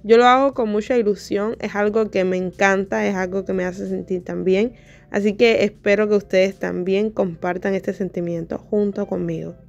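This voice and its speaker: A young adult woman narrating her home decor vlog in a chatty, relaxed way. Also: mid-range voice, normal speed, creaky, dull audio. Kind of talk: casual voice-over vlog narration. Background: soft background music.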